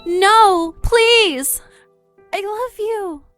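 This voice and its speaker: A seductive female vampire in an audio drama. Her voice is high, taunting and coaxing, with faint music behind it. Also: drawn-out words, fairly bright tone, heavily acted. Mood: desperate pleading. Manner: coaxing, mocking. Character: seductive, taunting vampire wife, hungry and pleading.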